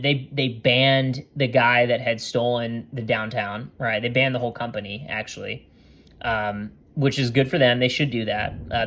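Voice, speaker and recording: Man, medium pitch, casual review, loose chatty delivery with fillers. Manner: relaxed, chatty, many ums and restarts. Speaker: trading card hobby channel host.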